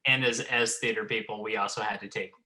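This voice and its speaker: Bright, forceful man chatting casually. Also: on the low side, fairly even intonation, brisk, poor audio. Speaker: writer-director of an audio drama, panel guest. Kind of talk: casual retrospective chat.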